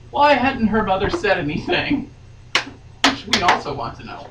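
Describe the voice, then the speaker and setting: Woman reading dramatically n joking around, bright lively voice, far from mic in a noisy room. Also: medium pitch. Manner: theatrical, joking. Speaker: guest reader. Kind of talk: dramatic reading with playful casual asides. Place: sewing room, indoors. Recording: echoey room, distant mic, clear noise.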